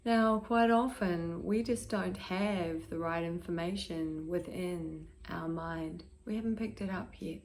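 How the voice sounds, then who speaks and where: A woman guides a meditation in a fairly low voice, speaking very slowly. Her delivery is gentle and measured, with long pauses between short phrases and soft, breathy endings. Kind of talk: guided meditation, slow and soothing.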